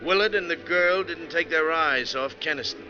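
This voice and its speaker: A man's voice: a little high, normal speed, lo-fi sound, over music, natural voice. A man playing a wry, tough bus driver who narrates his own story in 1940s radio drama style. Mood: grim, storytelling. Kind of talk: 1940s radio acting, first-person narration. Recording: thin, band-limited 1940s radio recording.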